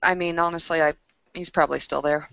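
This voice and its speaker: A woman improvising in character in a tabletop roleplaying session, with hesitant, halting phrasing. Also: low voice, steady tone, gravelly, fairly bright tone, clear, resonant tone, dry recording. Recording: dry, dull lo-fi audio.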